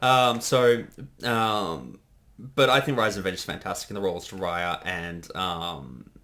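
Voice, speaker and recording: Casting: male commentator in his thirties, lowish and forceful, chatty, stop-start rambling. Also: measured pace. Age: 30s. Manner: hesitant, rambling, self-interrupting. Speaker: co-director giving creator commentary. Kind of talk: casual commentary chat.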